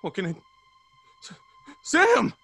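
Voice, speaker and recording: A man playing a breezy, slangy, excitable pal in a 1930s-style old time radio drama. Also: very high-pitched, slow, creaky, slightly rough, no room sound, full character voice. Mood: alarmed horror. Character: excitable male pal growing alarmed, breezy 1930s fellow.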